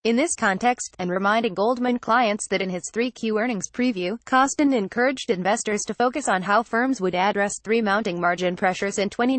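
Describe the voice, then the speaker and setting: A woman narrating a scripted financial news article in a measured, formal read-aloud delivery. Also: medium pitch, dry recording.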